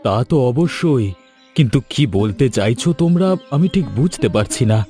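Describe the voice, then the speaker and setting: A man voicing a widowed village father who is gentle and grieving, soft-spoken and hesitant. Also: medium pitch, expressive, normal speed, natural voice. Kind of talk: Bengali cartoon dubbing. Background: background music.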